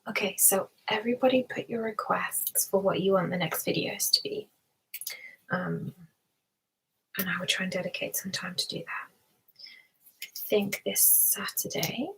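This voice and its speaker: A woman hosting an ASMR live stream, chatting to listeners in a hushed, soft voice that often drops into a whisper. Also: mid-range voice, steady tone, normal speed, vocal fry, pauses often, husky, low-quality recording. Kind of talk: ASMR, soft whispering and soft talk with mouth sounds.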